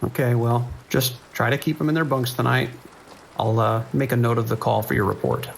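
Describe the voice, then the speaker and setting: A man playing a calm, kindly sheriff's deputy on night dispatch in an audio drama, talking casually and reassuringly. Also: mid-range voice, fairly quick, creaky, slight background noise, natural voice. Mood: calm, businesslike. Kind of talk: naturalistic audio drama acting.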